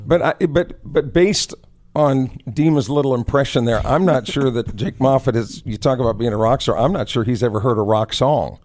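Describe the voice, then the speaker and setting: A man chats about sports as a radio co-host. He is animated and folksy, speaking at a mid-range pitch. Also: moderate pace. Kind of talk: casual sports talk.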